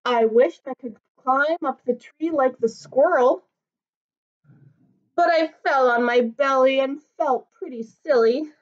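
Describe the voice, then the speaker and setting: Tiny wistful little male dog, high sing-song voice, slow and sad, dull lo-fi sound, lady doing it from a picture book. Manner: plaintive, sing-song storybook voice. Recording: dull lo-fi recording.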